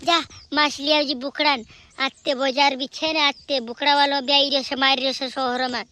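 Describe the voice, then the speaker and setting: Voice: sits high, level tone, normal speed, pushed and bright, slight background noise. A performer voicing a chattering, scolding village family member in an amateur cartoon comedy. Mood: chatty, insistent.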